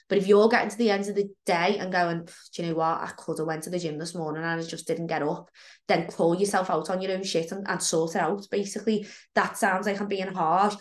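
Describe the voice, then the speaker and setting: A woman coaching her listeners in a loose, frank podcast chat, her voice a little croaky from a cold. She talks at an ordinary pitch, filling her sentences with "like" and "um", and gives blunt advice. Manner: chatty, frank, lots of "like" and "um". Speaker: fitness coach and podcast co-host.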